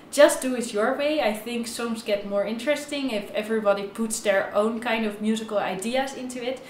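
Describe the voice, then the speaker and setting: Young woman violin teacher explaining stuff to camera, kinda high voice, echoey room, slightly non-native wording. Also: slight background noise. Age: young adult. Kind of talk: instructional video tutorial, talking to camera. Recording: roomy echo, violin playing at times.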